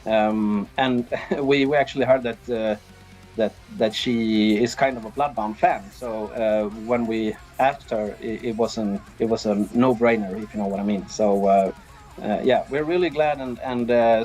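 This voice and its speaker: A man from Sweden giving casual, halting interview answers as a band's drummer. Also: on the low side, fairly even intonation, darkish tone, faint music behind. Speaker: band drummer being interviewed.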